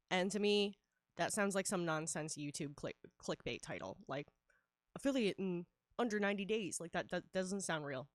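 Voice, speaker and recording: A woman's voice: lowish voice, dry recording. Chatty woman with a nasal head cold. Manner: chatty, laughs often, many fillers. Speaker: livestreaming artist. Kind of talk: casual streaming chat while drawing.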